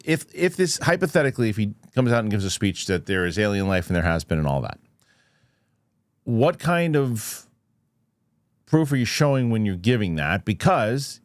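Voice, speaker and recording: A man with a fairly low voice, recorded dry with little room sound. He gives casual, unscripted news commentary as a show host. His delivery is halting and skeptical, as he reasons out loud and puts questions to the viewer. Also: sing-song, dry recording. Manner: halting, thinking aloud, rhetorical questions.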